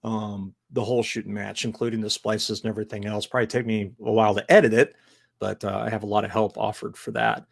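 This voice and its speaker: A man's voice: fairly low pitch, little pitch movement, fast talker, gravelly. A man co-hosting a podcast, chatting casually about his writing, rambling and swearing, laughing often. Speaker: podcast co-host, novelist. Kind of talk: casual chat.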